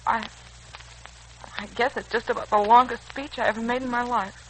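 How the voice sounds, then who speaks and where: An actress playing a modest, sincere, soft-hearted woman in a 1940s radio serial. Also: a little high, steady tone, normal speed, lightly acted. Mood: earnest. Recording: noisy old 1940s radio recording.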